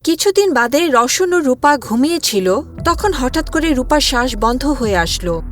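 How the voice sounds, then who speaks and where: Very high-voiced woman narrating a fairy tale. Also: animated, moderate pace, fairly bright tone.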